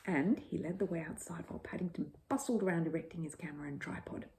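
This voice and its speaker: A woman's voice: lowish voice, fast, darkish tone, a little hiss or hum. A woman reading a children's bedtime story as a storyteller, in scripted narration.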